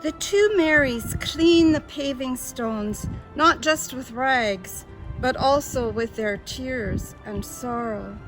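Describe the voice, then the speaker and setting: Lady narrator for an easter drama, very slow and solemn, loud music underneath. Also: high-pitched, rough recording. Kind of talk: solemn scripted narration of a passion play.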